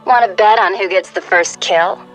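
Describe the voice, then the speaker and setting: An actress voicing a playful, competitive stealth assassin in a video game. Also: female voice, mid-range voice, moderate pace, faint music behind, lightly acted, rough recording.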